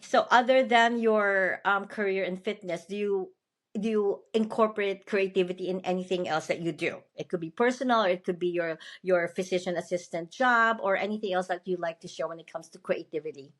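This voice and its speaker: A woman's voice: medium pitch, fairly quick. Woman, podcast host, chatty and upbeat, mid-range voice. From Philippines. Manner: chatty and upbeat, often asks "you know what I mean". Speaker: podcast host and motivational speaker. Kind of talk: casual interview chat.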